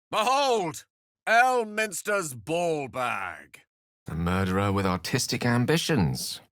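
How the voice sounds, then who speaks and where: A man's voice: sits low, a bit bright, dry recording, clean studio sound, exaggerated voice. A man voicing an eloquent, bookish wizard in a video game, articulate and theatrical, with ornate scholarly phrasing and a wry streak. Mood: dramatic incantation, then courteous, wry. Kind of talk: scripted video game character dialogue.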